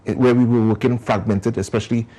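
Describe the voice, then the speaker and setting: A man's voice: medium pitch, steady tone, fast talker, mellow. A man with a Trinidadian accent, a community organization founder explaining his work at length as a guest in a TV interview.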